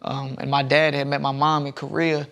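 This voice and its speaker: A man's voice: medium pitch, little pitch movement, moderate pace, creaky, a bit bright. A young man guesting on a podcast, telling rambling stories in casual chat with many restarts, on a lapel mic in a studio lounge. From Southern United States. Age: young adult.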